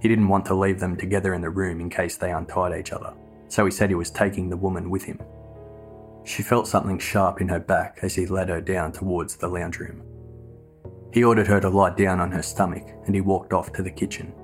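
A man reading scripted true crime narration in a measured, matter-of-fact way. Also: low-pitched, level tone, faint music behind.

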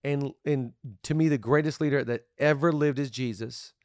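A man's voice: mid-range voice, darkish tone, dry recording, studio quality. Upbeat man hosting a podcast. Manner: upbeat, playful, quick to laugh. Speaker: podcast host. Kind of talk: casual podcast talk on leadership.

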